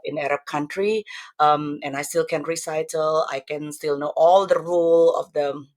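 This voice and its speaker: A woman's voice: sits low, fairly even intonation, moderate pace, a little mellow. Chatty Indonesian-accented woman. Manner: chatty, rambling, many fillers and restarts. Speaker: guest. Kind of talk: casual interview, telling her life story. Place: home room with decorations behind her.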